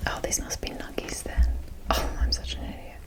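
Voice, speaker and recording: A woman hosting an ASMR video, chatting gently and musing aloud close to the microphone, in a show-and-tell style. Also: normal speed. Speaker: ASMR host. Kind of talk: whispered ASMR doll showcase. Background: tapping and rustling of small objects.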